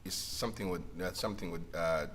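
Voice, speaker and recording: A singer-songwriter man from Rwanda with a Rwandan accent and a deep voice, talking casually in a halting way and laughing often. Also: a bit bright. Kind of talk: casual livestream conversation. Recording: faint background noise.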